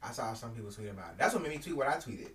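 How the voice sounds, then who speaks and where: A man's voice: mid-range voice, lively intonation, rapid-fire, a crowd in the background. A man co-hosting a podcast in casual chat, laid-back and slangy with lots of fillers. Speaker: podcast co-host. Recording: roomy with faint ambience.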